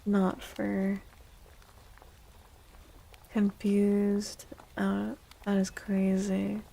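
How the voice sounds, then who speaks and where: A woman speaks in a soft, breathy voice with a crackle of fry, often dropping to a whisper. She takes her time, gently reassuring listeners in the manner of an ASMR tarot livestream. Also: medium pitch, completely flat delivery, extremely slow. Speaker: livestream host and tarot reader.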